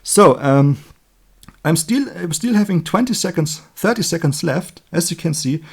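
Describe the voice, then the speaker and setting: Male electronics hobbyist, native German with German accent, voice a bit higher than average. Informal demo delivery, halting and talkative while working. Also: darkish tone. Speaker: electronics hobbyist demonstrating a circuit build. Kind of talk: informal hands-on tutorial, explaining while working.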